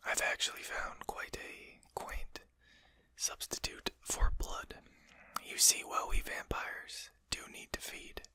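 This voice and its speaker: Breathy whisper, very bright and hissing, a performer as a polite vampire lord, formal wording, composed, ASMR roleplay. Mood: reassuring, genteel. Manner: polite, formal, unhurried hushed speech.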